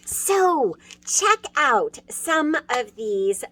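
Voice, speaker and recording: A woman's voice: sits high, very animated, drawn-out words, bright, forceful tone. A middle-aged woman casually and enthusiastically recommending books in an informal style, as a children's librarian would. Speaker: children's librarian hosting book talks.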